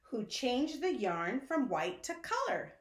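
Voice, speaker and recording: A woman reads a children's story in a lively, swooping, sing-song way. She takes her time and speaks directly to young listeners, in an echoey room. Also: high-pitched, takes it slowly. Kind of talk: children's picture-book read-aloud. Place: indoor room at home.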